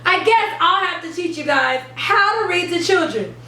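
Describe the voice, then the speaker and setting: A woman hosting an instructional video for parents and teachers, speaking in an animated, emphatic, teacherly way. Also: extremely high pitch, moderate pace. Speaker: host of an education channel for parents and teachers. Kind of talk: upbeat instructional video to camera. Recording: roomy, distant mic, faint background noise.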